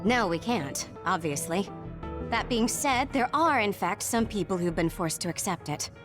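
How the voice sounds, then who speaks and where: A woman's voice: medium pitch, expressive, moderate pace, over music, close to an everyday voice. An actor playing a composed, matter-of-fact young woman, a comrade in arms in a video game. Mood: measured, explaining. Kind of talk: English video game voice acting.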